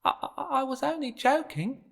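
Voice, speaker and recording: Man voicing a high-pitched mischievous little miss. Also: animated, normal speed, creaky, a bit bright, heavily acted. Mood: sheepish. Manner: stammering when caught out. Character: mischievous, cocky little girl storybook troublemaker.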